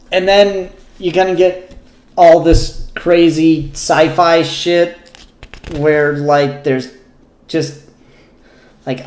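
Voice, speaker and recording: A man hosting a casual, unscripted review show, talking in a halting way with pauses mid-sentence and casual swearing. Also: a little high, a little mellow. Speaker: comic book reviewer and show host. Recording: roomy, echoey room sound.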